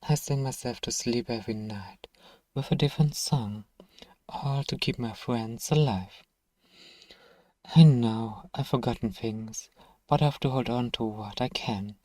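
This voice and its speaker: A man voicing a thousand-year-old vampire, nostalgic, tender and sorrowful, in a soft, measured ASMR role-play delivery that dips toward whispers. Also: medium pitch, dry recording, distant mic, close to an everyday voice.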